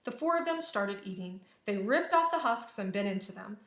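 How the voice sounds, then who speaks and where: A woman reads a children's storybook aloud in a mid-pitched voice. Her narration is expressive and dramatic, with careful phrasing. The room around her is clearly reverberant. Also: moderate pace. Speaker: storybook reader.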